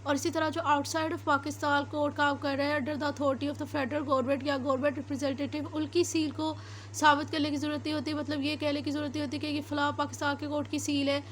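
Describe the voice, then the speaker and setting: Very high-pitched Pakistani woman lecturing. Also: level tone, brisk, slight background noise. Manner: explanatory, switches between Urdu and English. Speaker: law lecturer. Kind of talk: lecture, reading statutes then explaining them.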